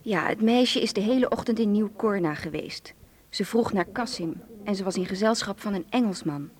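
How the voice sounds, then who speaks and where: A woman's voice: medium pitch, normal speed, darkish tone, close to an everyday voice. A woman playing a smuggler boss's amused, flirtatious and ruthless sister in a radio drama, with a cool, teasing delivery.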